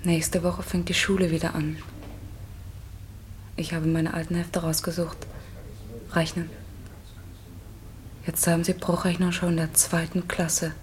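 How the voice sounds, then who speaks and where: A woman's voice: fairly low pitch, little pitch movement, unhurried, pauses often, lightly acted. An actress playing a grieving, bitter widowed mother in a German radio crime drama, weary and subdued.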